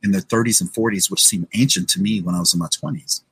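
An American man in his forties with a husky voice, telling his story casually as an interview guest, often checking in with the listener. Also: low voice, fast, a bit bright, rough recording. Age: 40s.

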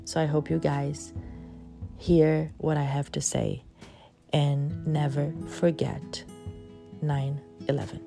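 A middle-aged woman from Brazil, a native Portuguese speaker with a Brazilian accent, hosting her podcast and telling a personal story in an earnest, halting way full of fillers. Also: low voice, flat delivery, vocal fry, loud music behind the voice. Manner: earnest, halting with frequent fillers and soft laughs. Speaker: podcast host.

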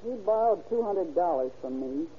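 A woman's voice: on the low side, lively intonation, moderate pace, lightly acted. An actress playing a tough, guarded landlady in an old-time radio crime drama, answering in terse, slangy, curt lines. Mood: subdued, wary. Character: tough, guarded landlady, loyal and defiant toward police. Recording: dull, noisy old radio recording.

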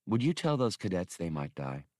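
A man voicing a sharp, challenging, distrustful woman in an audiobook, speaking in a pointed, probing way. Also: deep voice, sing-song, normal speed, dry recording, studio quality. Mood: quiet, probing. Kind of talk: audiobook character voice.

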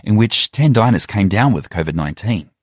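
A man narrating a scripted news report in a measured, read-aloud way. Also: fairly low pitch, expressive, breathy edge, no echo, clean studio sound.

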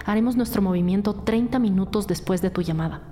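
A woman's voice: mid-range voice, fast, close to an everyday voice. An actress playing a resolute police detective who leads her team with authoritative, clipped orders in a crime audio drama. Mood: firm, focused. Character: commanding, resolute female police detective leading a rescue. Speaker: police team leader character. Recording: loud music behind the voice. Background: dramatic music.

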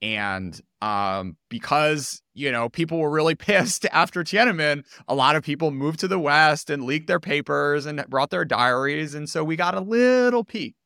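A man's voice: a little high, lively intonation, normal speed, creaky, hardly any pauses, pushed and bright, clean tone, dry recording, clean studio sound. A man hosting an interview podcast in a casual, informal way, rephrasing his thoughts as he goes.

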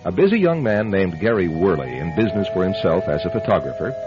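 Over clear music on a dull radio recording, a male announcer introduces a mystery. His low voice is measured and suspenseful, with dramatic swings in pitch. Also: sing-song. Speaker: announcer and host.

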